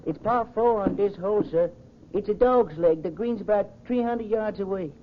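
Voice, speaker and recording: An actor playing an awestruck, deferential, folksy golf caddy in an old radio drama, excitable and full of exclamations. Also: male voice, sits high, moderate pace, background noise, natural voice. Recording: muffled old radio recording.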